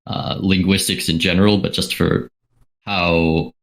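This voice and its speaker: A man with a very low voice gives advice in a measured, explanatory way. A gravelly fry runs through his speech. He talks casually, dropping in the odd "uh". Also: flat delivery. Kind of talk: casual panel chat.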